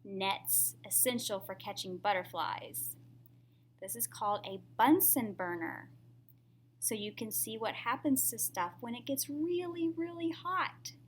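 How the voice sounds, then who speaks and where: A woman's voice: on the high side, unhurried, slight background noise. A woman reading a picture book aloud to young children in a teacherly way, often putting questions to the listener.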